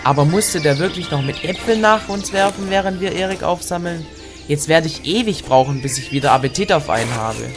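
A man's voice: a little high, lively intonation, brisk. A man acting in an amateur audio play with a complaining manner. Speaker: cast member playing himself in audio play. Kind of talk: amateur scripted audio drama dialogue. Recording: music behind the voice.

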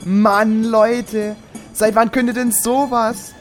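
Man as fretful young companion, incredulous, whiny, slow delivery, amateur audio comedy, music underneath. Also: high voice, little pitch movement.

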